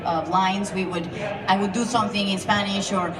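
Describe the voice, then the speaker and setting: A voice actress speaking on a convention panel, excitable and gushing, with frequent laughter. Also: female voice, medium pitch, moderate pace. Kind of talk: casual panel talk. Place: large convention hall, heard from the back of the crowd. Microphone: phone recording from far back in the audience. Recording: distant, echoey phone recording in a crowd.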